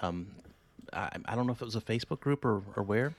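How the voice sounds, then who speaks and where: A man's voice: on the low side, animated, fast talker, no room sound. A man hosting a podcast in casual chat, hesitant and full of restarts, laughing often. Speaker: podcast host.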